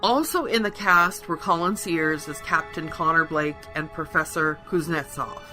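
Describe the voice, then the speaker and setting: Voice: medium pitch, moderate pace, pushed and bright. An announcer reading scripted closing credits for a radio play in a formal manner. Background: faint music.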